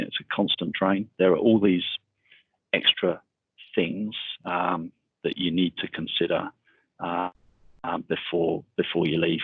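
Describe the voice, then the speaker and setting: A middle-aged man giving a casual webinar talk, speaking in a monotonous, rambling way with many fillers over a video call. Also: deep voice, husky, dry recording. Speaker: webinar speaker on military transition. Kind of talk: casual presentation. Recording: tinny video call audio.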